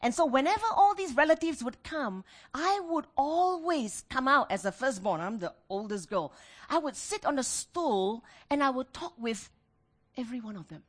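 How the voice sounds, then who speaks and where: A woman's voice: sits high, lively intonation, moderate pace. A middle-aged woman preaches. She speaks as a pastor to her congregation, mixing scripture with personal stories. Her delivery is emphatic, with repeated phrases and questions put to her listeners. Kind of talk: sermon with personal anecdotes.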